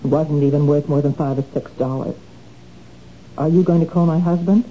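An actress playing a flustered young wife caught shoplifting, stammering and pleading in a 1970s radio drama. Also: female voice, low-pitched, fairly even intonation, a little fast, close to an everyday voice. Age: young adult. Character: anxious young wife who can't stop stealing. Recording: dull lo-fi 1970s radio broadcast, faint hiss.

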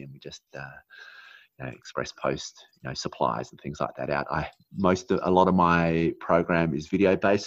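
A man raised in Australia after a New Zealand childhood, speaking as an interview guest in an earnest, halting way with many fillers. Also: a bass voice, no echo, lo-fi sound. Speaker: guest, naturopath. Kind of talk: casual interview chat.

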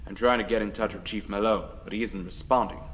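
A man's voice: fairly low pitch, steady tone, fast talker, fairly bright tone, a little hiss or hum, natural voice. A man playing a terse starship captain who gives short, businesslike orders. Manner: curt, businesslike. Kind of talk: audio drama acting. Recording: long hall-like reverb.

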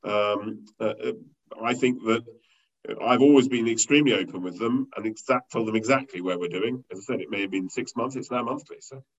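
Guy running a company, rambly, lots of uhs, lowish loud voice, kinda dull lo-fi audio. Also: fairly quick, a little hiss or hum. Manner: rambling, many fillers and restarts. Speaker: company leader interviewed as guest. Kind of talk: conversational business interview. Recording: dull lo-fi sound.

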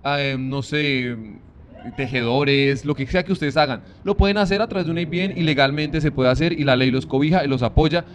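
A fast-talking man with a Bogotá Colombian accent, chatting as a podcast guest. He sounds relaxed and easygoing, and faint ambient noise sits behind him. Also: a little high. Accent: Bogotá Colombian Spanish. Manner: relaxed, easygoing, leans on "pues" as a filler. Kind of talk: casual podcast interview.

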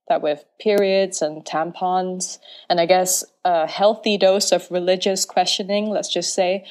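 A woman's voice: on the low side, darkish tone. A young woman from Malaysia, a podcast guest telling her personal story in a frank, playful and articulate way. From Malaysia, Penang.

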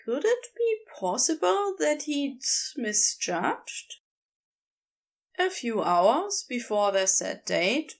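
A woman reading a story aloud as narrator, in an expressive scripted style. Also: fairly high pitch, gravelly. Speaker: podfic narrator.

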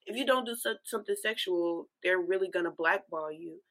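A woman's voice: on the low side, brisk, fairly bright tone. A woman chatting casually on a podcast in a frank, slangy way. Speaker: podcast panelist. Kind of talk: casual chat.

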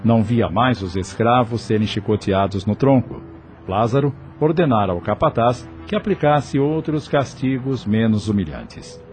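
A man narrating a radio drama in a measured, expressive storytelling voice. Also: mid-range voice. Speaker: narrator and announcer. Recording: music beneath the narration.

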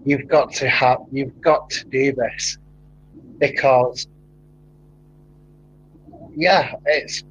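A man's voice: very slow, pauses often, husky, rough recording. A man from Manchester with a broad Mancunian accent, chatting casually and animatedly, often laughing and telling stories. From England (Manchester). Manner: animated storyteller, laughs often, rising pitch when excited. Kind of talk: casual chat.

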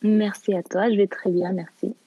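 A woman's voice: mid-range voice, little pitch movement, normal speed, warm tone, husky, rough recording. A woman from Canada speaking casually as an interview guest, often hesitant and repeating phrases as she searches for words. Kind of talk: casual, halting interview conversation.

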